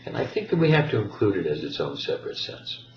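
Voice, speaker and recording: A man delivers an emphatic lecture in a distant, echoey recording. His fairly low voice swings widely in pitch as he states each point firmly. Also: very animated, fast talker. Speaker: presenter. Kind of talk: lecturing commentary. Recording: echoey, distant, dull with faint noise.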